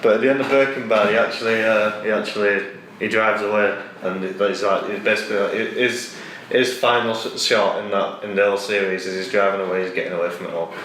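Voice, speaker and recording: A man's voice: lowish voice, fairly even intonation, fast talker, a bit bright. A man, chatting casually about films in a blunt, opinionated, sweary way. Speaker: co-host. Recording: echoey room, distant mic, faint noise.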